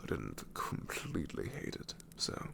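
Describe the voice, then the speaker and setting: A man's voice: huge pitch swings, unhurried, sharp tone, full character voice. A man playing an ancient, sassy shadow demon in an ASMR roleplay, wry and world-weary but tenderly reassuring, often slipping into a whisper. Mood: grudgingly sweet. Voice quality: very deep, gravelly, often breaking into whisper.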